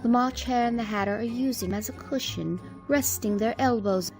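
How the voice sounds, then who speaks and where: A woman's voice: fairly high pitch, normal speed, darkish tone, soft background music, close to an everyday voice. A woman reading scripted storybook narration for a radio play.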